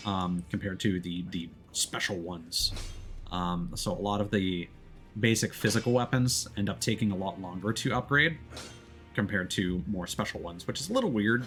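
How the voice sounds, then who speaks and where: A man's voice: on the low side, a bit bright. A man giving casual live gameplay commentary as a streamer, explaining things and thinking aloud. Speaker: game streamer.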